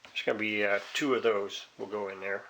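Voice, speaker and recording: A man's voice: fairly low pitch, fairly even intonation, fairly quick, gravelly, sharp tone, slight background noise, low-quality recording. A man giving a casual, rambling hands-on repair demonstration, thinking aloud in a hesitant, folksy way. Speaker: hobbyist repairing a vintage radio.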